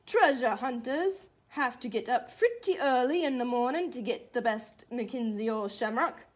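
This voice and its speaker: Woman reading as a high-voiced little leprechaun man. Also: expressive, normal speed. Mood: proud, storytelling. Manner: boastful, folksy Irish-flavored storybook phrasing. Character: feisty, proud little old male leprechaun cobbler.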